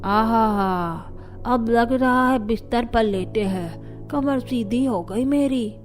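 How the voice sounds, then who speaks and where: A woman's voice: on the high side, takes it slowly. A woman playing a grumbling, teasing elderly in-law in a Hindi cartoon family story. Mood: weary, contented. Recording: music behind the voice.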